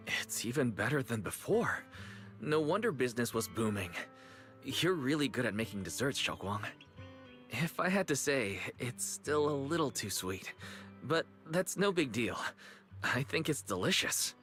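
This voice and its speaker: A nice young game protagonist, reassuring buddy type, pitch moves around a lot, anime dub style. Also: male voice, sing-song, unhurried, fairly bright tone. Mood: warm, appreciative. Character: kind, easygoing young man, supportive friend. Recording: background music under voice.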